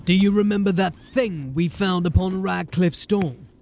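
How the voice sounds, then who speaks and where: A man acting a gruff, authoritative sergeant of a city watch in a fantasy audio drama, with clipped speech. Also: high-pitched, unhurried, a little mellow. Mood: brooding.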